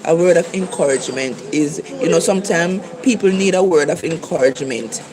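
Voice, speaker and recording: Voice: mid-range voice, fairly quick, crowd noise, rough recording. A speaker from Jamaica with a Jamaican accent, giving a casual, earnest talk of encouragement to livestream viewers and repeating key phrases. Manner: earnest, repetitive exhortation. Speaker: livestream preacher.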